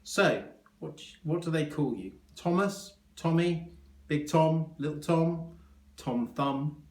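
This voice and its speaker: A man voicing a flustered, excitable, bumbling hospital doctor in a children's story, given to panicky exclamations and eager questions. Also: on the high side, slow, roomy sound, pitched above the performer's own voice. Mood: eager, excited. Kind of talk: children's storybook character reading.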